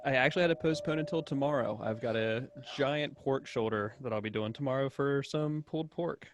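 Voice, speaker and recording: A man's voice: mid-range voice, brisk. An American man chatting casually with a dry, joking manner. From United States, Ohio. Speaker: show's musician. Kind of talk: casual chat.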